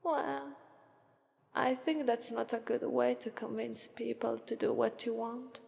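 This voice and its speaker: A woman with an Italian accent voicing a lazy, aloof, regal demon of Sloth in an unhurried, detached ASMR roleplay. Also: fairly high pitch, flat delivery, gravelly, a little mellow. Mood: languid, aloof. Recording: long reverberant hall echo.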